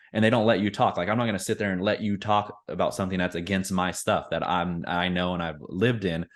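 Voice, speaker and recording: Man in his forties, podcast co-host, casual storyteller who acts out quotes, lowish voice. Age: 40s. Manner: animated storytelling with acted-out quotes. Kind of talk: casual chat.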